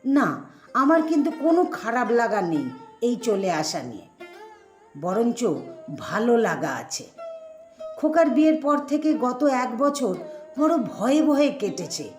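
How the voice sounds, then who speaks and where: A woman voicing a retired Bengali mother-in-law, quietly disapproving and self-restrained, in an expressive, conversational story reading. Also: fairly high pitch, a little slow, long pauses. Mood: resolved, reflective. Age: older. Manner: conversational, expressive, rhetorical questions. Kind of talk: first-person dramatic story reading. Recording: echoey voice over sitar music.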